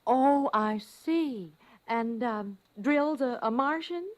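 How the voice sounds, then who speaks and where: A woman playing an amused, indulgent mother in an old-time radio drama, gently humoring a child. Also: a little high, slow. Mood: amused, curious.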